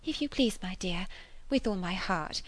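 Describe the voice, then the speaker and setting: A woman's voice: medium pitch, lively intonation, moderate pace, no echo, close to an everyday voice. A woman reading a classic novel, voicing an eager, kindly aunt who chatters in a fluttery, rambling way. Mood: eager, flustered. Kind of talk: classic novel character dialogue.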